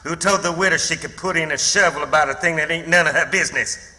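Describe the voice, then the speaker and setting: A man's voice: fairly high pitch, fast, pushed and bright. An actor playing a menacing, drunken old backwoods father with a rural Southern American accent, sneering and taunting in radio drama style. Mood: taunting, angry. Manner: sneering, taunting, folksy dialect. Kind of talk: theatrical radio drama acting. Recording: long hall reverb.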